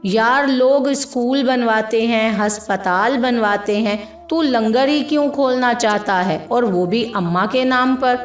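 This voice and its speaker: Lady doing a heartfelt village guy talking to his old buddy in a story, slow, echoey. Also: on the high side. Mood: puzzled. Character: earnest grown village man, grateful old friend. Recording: echoey voice over faint music.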